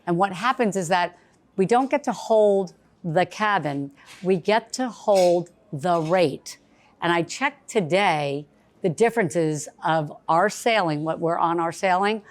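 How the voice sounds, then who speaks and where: A woman's voice: lowish voice, animated, a little slow. A woman travel agent hosting a live event, chatty and bubbly, with a big cheerleader voice. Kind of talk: casual event presentation. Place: bistro event space.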